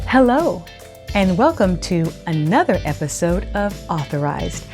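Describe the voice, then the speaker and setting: A woman hosting a children's storytime, speaking in an expressive, teacherly way as she reads and explains. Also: on the low side, a little slow, soft background music. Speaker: host of a children's read-aloud storytime show. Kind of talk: expressive storybook reading with scripted instructions.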